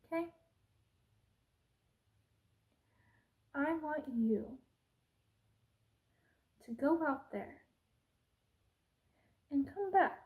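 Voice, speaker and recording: A woman playing a haughty, cruel evil queen in an ASMR roleplay, speaking in an imperious, deliberate, menacing way. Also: high-pitched, animated, a little mellow. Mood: scornful, irritated. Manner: imperious, slow and deliberate, drops into menacing whispers.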